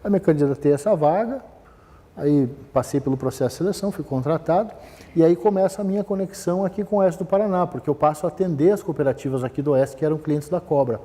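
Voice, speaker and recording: A middle-aged man from Brazil, telling his career story in a relaxed talk, often hesitating and restarting. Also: on the high side, fast talker, long reverb tail. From southern Brazil. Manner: rambling, many fillers and restarts. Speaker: business manager giving a talk. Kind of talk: conversational talk, personal history.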